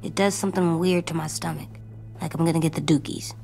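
A woman playing an anxious, stubborn young boy in a dramatic video game, speaking earnestly in halting, childlike phrases. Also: low-pitched, fairly even intonation, creaky, dark tone, faint music behind. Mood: uneasy.

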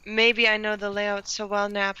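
A woman's voice: medium pitch, flat delivery, normal speed, sharp tone, clean tone, dull audio, close to an everyday voice. A woman playing a cool, commanding demon in an audio drama, terse, dry and wry. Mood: grim.

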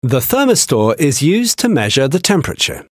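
A man giving scripted technical narration for a training video, with a measured, explanatory delivery. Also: fairly high pitch, big pitch swings, no room sound, studio quality.

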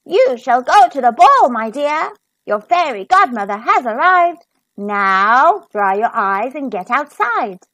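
A woman's voice: high-pitched, big pitch swings, slow pace, a bit bright, no echo, heavily acted. A woman voicing a kindly, commanding fairy godmother from a storybook, speaking emphatically and encouragingly.

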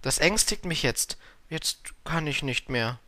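A man's voice: fairly high pitch, very animated, measured pace, bright, forceful tone, no echo, pitched above the performer's own voice, heavily acted. A man voicing a shy, polite little boy prince in a storybook, timid, hesitant and full of questions.

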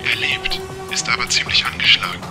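A composed male car computer from a radio play, voiced by a man, measured and watchful, reporting at a medium pitch. Very loud music and engine noise fill the background. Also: very animated, natural voice. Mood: calm, alert. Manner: measured, informative. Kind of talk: audio drama acting.

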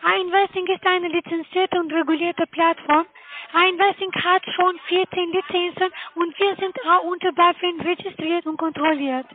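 A woman with a foreign accent and non-native, halting German, giving a sales pitch as a cold caller over the phone. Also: steady tone. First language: not German. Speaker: cold caller selling online investments. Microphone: telephone call. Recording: muffled narrowband phone line.

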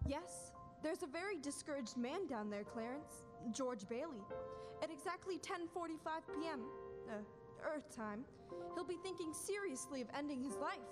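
A young woman playing a calm, authoritative superintendent of angels, telling a story in a live radio play. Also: high voice, normal speed, music in the background. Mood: calm, instructive. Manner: instructive storytelling. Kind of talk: stage radio-play acting. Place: school auditorium stage, standing at mic. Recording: reverberant noisy hall.

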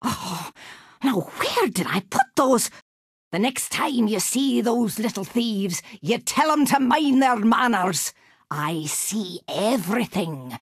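Crotchety old Scottish witch, swooping high. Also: lively intonation, slow, slightly rough, dry recording, clean studio sound, exaggerated voice. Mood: grumbling, menacing. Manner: theatrical, scolding, mock-sweet endearments. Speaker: video game character voice. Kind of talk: animated video game voice acting.

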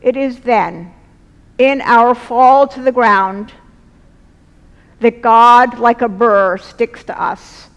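A middle-aged woman delivers a sermon at a church lectern in slow, weighty phrases. Her voice sits fairly high, and a long church reverb trails behind every line. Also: a bit bright. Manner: measured, earnest, slow deliberate phrasing. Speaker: preacher. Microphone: lectern mic, far camera.

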